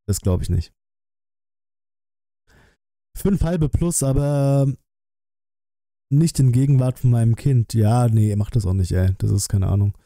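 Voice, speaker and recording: Very bright-voiced man, casual streamer. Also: mid-range voice, unhurried, long pauses, dry recording, studio quality. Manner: musing, colloquial, thinking aloud. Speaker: streamer reacting to a game trailer. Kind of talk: casual live-stream commentary.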